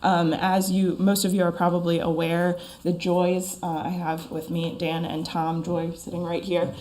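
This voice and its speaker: Hoarse, froggy-voiced woman attorney. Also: on the low side, little pitch movement, a little mellow. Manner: measured and methodical, with frequent ums. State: hoarse from a lingering cold. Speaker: attorney for an applicant. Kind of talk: formal public meeting presentation.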